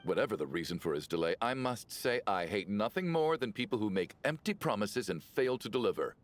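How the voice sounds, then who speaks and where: A self-important employer acted by a man in a video game, blustering in a low register. His pitch moves around a lot as he fusses pompously. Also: lively intonation, a little fast, natural voice. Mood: indignant. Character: fussy, self-important male boss.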